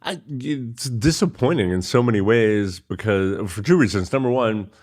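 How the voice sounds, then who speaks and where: A man from Egypt, a podcast guest, explaining things in measured, storytelling fashion. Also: on the low side, animated, a little mellow. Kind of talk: casual interview.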